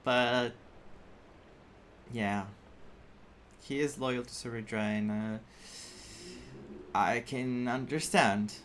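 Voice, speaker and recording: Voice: medium pitch, very slow, pauses often, slight background noise. A game streamer giving casual, exclamatory playthrough reactions, talking to the screen.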